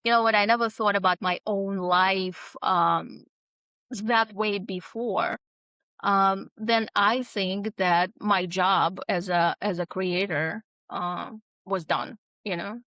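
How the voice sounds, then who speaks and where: A woman's voice: medium pitch, fairly even intonation, slow, bright, forceful tone, dry recording, low-quality recording. Hesitant woman author, very dry sound. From abroad, immigrated around twenty-two. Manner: hesitant, many fillers and restarts. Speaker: author guest. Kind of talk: casual interview talk.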